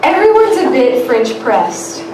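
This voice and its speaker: A quiet-voiced woman reading her poem aloud at a live reading night. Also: high voice, very animated, slow pace. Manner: quiet, soft-spoken. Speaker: student poet. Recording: echoey room, far from the mic, noisy.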